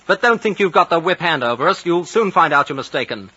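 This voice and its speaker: A hot-headed young British officer, rather high and sharp, swinging widely in pitch. He is blunt and easily indignant, heard on a dull old radio drama broadcast. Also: animated, quick pace, slightly rough, full character voice. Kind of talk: 1950s radio drama acting.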